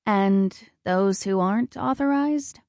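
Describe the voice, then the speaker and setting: A woman's voice: medium pitch, takes it slowly, darkish tone, no echo, studio quality. A woman voicing a wary, sarcastic young heroine who talks in a blunt, skeptical way.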